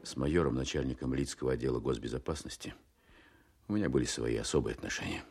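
A man's voice: very deep voice, quick pace, creaky, darkish tone, husky, exaggerated voice. An actor playing a wartime officer who narrates his own story in an understated, confiding way, in a radio drama. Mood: reflective. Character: reflective male wartime counterintelligence captain narrating his story. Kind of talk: first-person dramatised narration.

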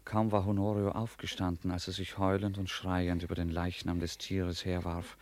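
Deep-voiced guy narrating a literary radio play, formal and measured, bit of noise behind. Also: monotone, a little mellow.

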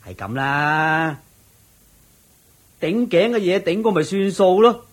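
A fed-up henpecked merchant in hong kong cantonese, bit raspy, pleading and sighing, radio play acting. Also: male voice, high-pitched, expressive, takes it slowly, heavily acted. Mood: incredulous. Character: exasperated, henpecked merchant man, placating and incredulous. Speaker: radio drama actor. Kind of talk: theatrical radio drama acting.

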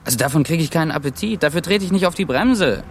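An actor playing a rough, lustful drifter and secret lover in a naturalistic radio drama, terse and muttering, with a gravelly, husky voice. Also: male voice, on the high side, expressive, fast, slight background noise. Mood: offhand, grumbling.

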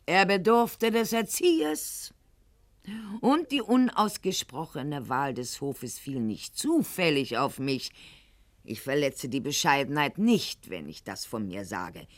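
An actress playing a proud, aging aristocratic wife at court in a radio play, speaking formally and precisely, with haughty theatrical declamation. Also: female voice, sits low, animated, slow pace. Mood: proud, self-justifying.

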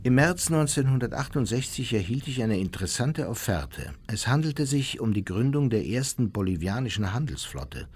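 An actor playing a self-justifying German businessman, narrating his memoirs formally and deliberately in a radio play. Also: medium pitch, sing-song, a little mellow, slightly rough. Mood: aggrieved, proud. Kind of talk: scripted first-person radio play narration.

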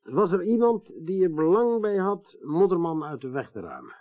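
A man's voice: sits high, very animated, normal speed, no echo, muffled recording. A man playing a stern, persistent investigator in a radio drama, formal, terse and probing.

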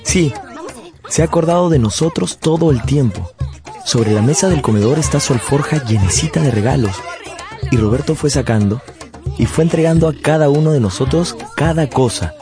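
An actor playing a nostalgic man who narrates his family's past in a measured, lyrical way for a radio drama. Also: mid-range voice, lively intonation, over music, natural voice. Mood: fond.

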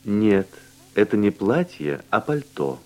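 A man reading language-course dialogues and word drills with slow, deliberate, carefully enunciated speech. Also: lowish voice, big pitch swings, mellow, poor audio. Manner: clear, deliberate articulation in short answers.

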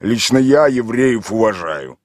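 A man's voice: fairly low pitch, animated, unhurried, a little mellow, no room sound, clean studio sound, pitched above the performer's own voice. A man doing a rowdy hard-drinking villager.

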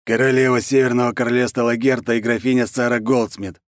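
A man voicing a sly, calm wolf servant with a dry teasing manner in an audiobook. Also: mid-range voice, fast talker, no room sound, voice pushed up, lightly acted. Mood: wry. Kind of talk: audiobook character voice.

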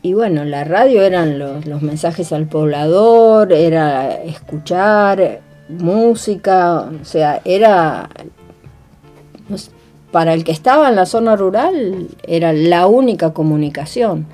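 Casting: older Argentine female interviewee, dark relaxed voice on the lower side, thoughtful, hesitant recollection. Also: slow pace, faint music behind. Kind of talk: reminiscing interview talk.

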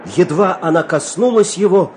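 A man narrating a fairy-tale audio play in an expressive, scripted storytelling style. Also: high-pitched, moderate pace, a little hiss or hum.

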